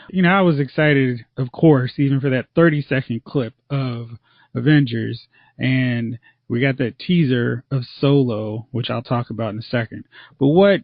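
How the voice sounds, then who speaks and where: A man's voice: medium pitch, dry recording. A man hosting a casual movie podcast, upbeat and chatty as he leads the talk. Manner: upbeat, chatty, quick asides. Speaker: podcast host. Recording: muffled lo-fi dry recording.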